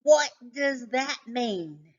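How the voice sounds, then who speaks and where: Lady doing a simple good-natured boy dog from a kids book, baffled and earnest, pitch jumping up a lot. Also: expressive, very slow, muffled recording, exaggerated voice. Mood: puzzled. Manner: earnest, questioning, protesting. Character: naive, good-natured male family dog, easily baffled.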